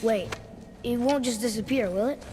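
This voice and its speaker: A woman's voice: fairly high pitch, normal speed, warm tone, big-room sound, soft background music, close to an everyday voice. A performer playing a curious, earnest young boy in a video game, talkative, inquisitive and eager. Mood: doubtful surprise.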